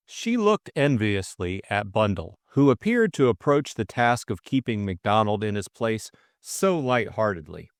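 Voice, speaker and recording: A man's voice: lowish voice, big pitch swings, measured pace, hardly any pauses, no room sound. A man reading scripted fiction as an audiobook narrator, close on the mic.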